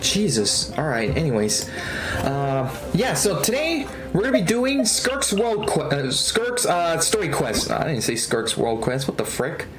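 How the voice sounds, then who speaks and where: A woman's voice: deep voice, big pitch swings, a little slow, music in the background, poor audio. A woman livestreaming a game in casual, slangy, rambling chat with frequent exclamations. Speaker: livestream host, gamer.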